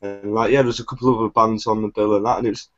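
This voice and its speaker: A man's voice: lowish voice, level tone, rapid-fire, a bit bright, faint music behind. A man guesting on a casual chat, enthusiastic and swearing freely, calling everyone mate. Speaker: band member guest. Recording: dull lo-fi sound.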